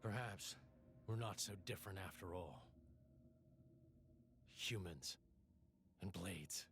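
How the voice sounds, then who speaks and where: An actor playing a stoic, weary, protective male warrior in a game, restrained and measured. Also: low voice, vocal fry, a bit bright, slight background noise, full character voice. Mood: weary, reflective. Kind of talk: dramatic game cutscene dialogue. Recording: music under the dialogue.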